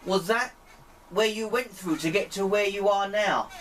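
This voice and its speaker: A man speaking in deliberate, pleading short phrases, putting questions to a spirit as an amateur experimenter. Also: sits high, a bit bright. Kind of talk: slow spoken questions addressed to a spirit. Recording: distant mic, clear background noise.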